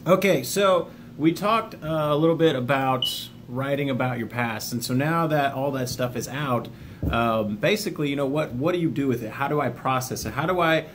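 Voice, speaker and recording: A man's voice: medium pitch, normal speed, fairly bright tone, slight background noise. A plainspoken man hosting an informal self-help video, talking straight to viewers in a folksy way with the odd jokey aside. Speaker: video host, army veteran sharing self-help lessons. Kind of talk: informal video talk, partly reading a book overview.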